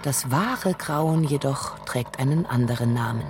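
A woman's voice: extremely low pitch, measured pace, background noise. A woman narrating a literary crime radio drama in a brooding, solemn way.

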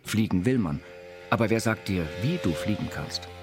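A low-voiced earnest visionary inventor. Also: male voice, animated, normal speed. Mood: earnest, instructive. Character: visionary self-taught inventor reciting his philosophical writings. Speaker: actor reading an inventor's writings. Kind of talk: literary radio play recitation. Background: music.

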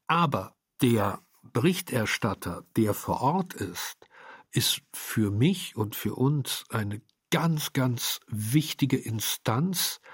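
A man's voice: medium pitch, husky, dry recording, clean studio sound. A man giving a reflective interview statement as a radio play maker, repeating phrases for emphasis.

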